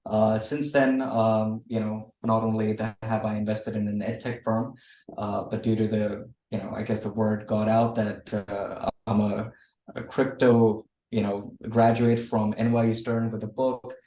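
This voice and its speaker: Low, flat-voiced Australian man on a call. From Australia and India. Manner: measured, frequent uh fillers. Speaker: guest. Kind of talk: video call interview. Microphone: video call. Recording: roomy, distant video call audio.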